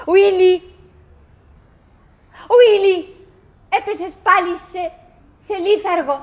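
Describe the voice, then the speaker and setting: An actress playing a chatty, wistful middle-aged wife who clings to brave cheer, in a halting, dramatic radio play monologue. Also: female voice, sits high, darkish tone. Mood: pleading, anxious.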